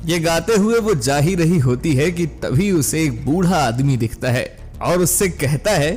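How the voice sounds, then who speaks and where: A man with a high voice narrates a children's cartoon story. He takes his time over the lines, with faint music underneath. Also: expressive, a little slow, darkish tone.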